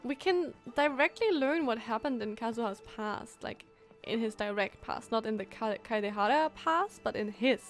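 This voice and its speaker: A woman streaming a game with casual, enthusiastic and reactive commentary. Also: on the high side.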